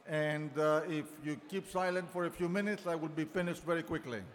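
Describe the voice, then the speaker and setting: A man hosting an event luncheon, giving an unscripted, halting welcome speech and thanking his guests. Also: a little high, steady tone. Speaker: event host and organizer. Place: large banquet hall. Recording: long reverb of a large hall.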